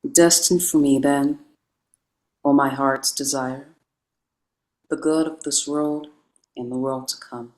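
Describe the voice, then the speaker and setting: Slow, flat reverent prayer reading. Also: mid-range voice, low-quality recording.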